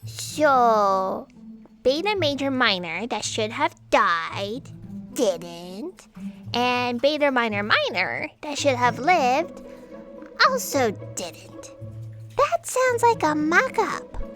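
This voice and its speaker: An actress playing an earnest, excitable fact checker who worries easily, in an audio drama. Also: female voice, on the high side, slow, faint music behind, exaggerated voice. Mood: puzzled.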